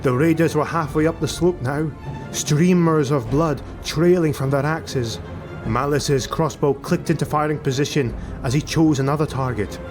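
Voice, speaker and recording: A man's voice: on the high side, normal speed. A man reading a fantasy audiobook as a scripted, dramatic narration. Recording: music bed behind the voice. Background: music.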